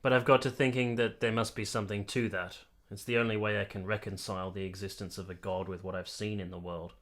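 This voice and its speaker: A man's voice: fairly low pitch, flat delivery, quick pace, a bit bright. An actor playing a sober, disillusioned military man of the 1870s in a radio drama, reflective and formal in his wording. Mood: reflective, bitter. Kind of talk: period radio drama acting and narration.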